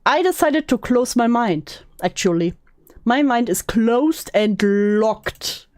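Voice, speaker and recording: Slow-paced, higher-voiced woman, mocking and blunt. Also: lively intonation, darkish tone. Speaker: commentary video host. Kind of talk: sarcastic reaction commentary, mixing read-aloud posts with asides.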